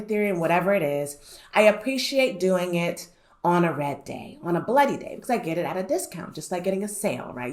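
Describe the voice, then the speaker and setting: A woman talking casually to her viewers about investing, her voice a little nasal from a lingering cold, with frequent "right?" and "um" asides. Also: on the low side. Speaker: finance YouTuber. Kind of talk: casual explainer talk to camera.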